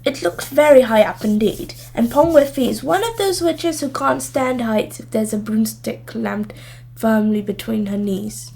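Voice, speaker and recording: Female children's story narrator, fairly high voice, very measured pace, expressive delivery. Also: vocal fry, breathy edge. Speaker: storybook reader.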